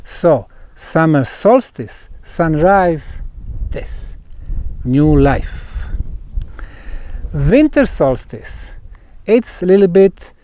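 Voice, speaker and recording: Slow-paced man guiding a group. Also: a little high, big pitch swings, dark tone, no echo. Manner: deliberate, emphatic phrasing with pauses. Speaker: researcher and tour guide. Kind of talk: guided site talk to a group. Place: outdoors at a megalithic stone site.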